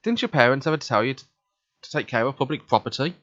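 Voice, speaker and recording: A man's voice: medium pitch, expressive, fast talker, a bit bright, no room sound, highs cut off, lightly acted. Man doing a jaded schoolboy, visual novel, sardonic, grudging, dry close sound. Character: jaded male high school student, blunt but considerate.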